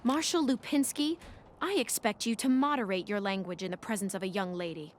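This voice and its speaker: A woman's voice: medium pitch, a little fast, slight background noise, lightly acted. An actress voicing a haughty, determined young woman of a rich family in a video game, formal and self-assured.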